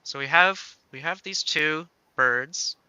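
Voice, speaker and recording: A man giving a casual software demo as a game developer, explaining step by step and thinking aloud. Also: a little high, slow pace, crowd noise, poor audio. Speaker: game developer demonstrating a tool.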